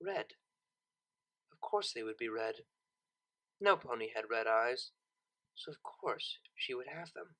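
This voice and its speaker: A narrator reading a scripted story expressively, with dramatic, deliberate phrasing. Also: mid-range voice, expressive, bright, forceful tone. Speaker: narrator, audiobook reader.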